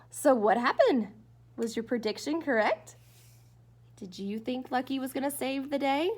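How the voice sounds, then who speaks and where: A woman's voice: high-pitched, expressive, a little slow, darkish tone, slightly rough. A woman reading a storybook aloud as a teacher to children, expressively and dramatically, coaxing them with questions.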